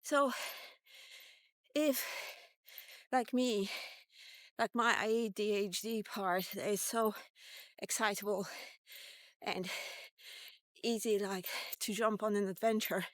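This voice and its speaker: A woman's voice: mid-range voice, slow pace, pauses often, no echo. A woman in her fifties telling a personal tale in a casual, rambling, reflective vlog style. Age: 50s. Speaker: vlogger.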